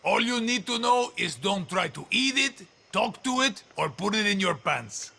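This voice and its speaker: A gravelly, showy older rebel mentor in a video game, swinging his pitch up and down as he jokes. The tone is bright and sharp, with faint ambience underneath. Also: sing-song, a little slow, full character voice. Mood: theatrical. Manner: showy, joking, mock dialogue. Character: older male guerrilla mentor, theatrical and boastful. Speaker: guerrilla contact giving orders. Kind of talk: video game cutscene acting.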